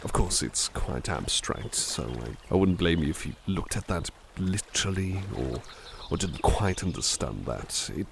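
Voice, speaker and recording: A man voicing a kind-hearted, caring butler, reading poems softly and musing gently between them in a close, intimate roleplay. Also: sits low, lively intonation, measured pace, vocal fry, a little hiss or hum, full character voice. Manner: soft-spoken, gentle, reflective asides. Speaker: ASMR roleplay performer. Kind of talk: ASMR roleplay poetry reading.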